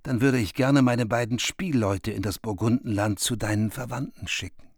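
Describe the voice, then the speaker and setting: A mighty king, gentle and accommodating, mid-pitched male voice with dry close studio sound. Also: moderate pace, gravelly, no room sound. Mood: kindly. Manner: kind, accommodating. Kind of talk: radio play acting.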